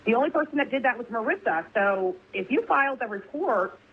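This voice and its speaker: A woman's voice: a little high, expressive, fairly quick, slightly rough. A woman speaking insistently and accusingly in a confrontational phone message. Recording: tinny, narrow phone-line audio.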